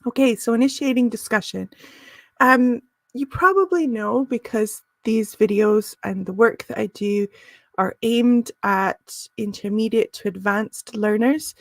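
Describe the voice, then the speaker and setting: A woman's voice: fairly high pitch, a little slow, no echo. A woman teaching a live business English lesson, friendly and encouraging, with frequent "um" and "uh" fillers.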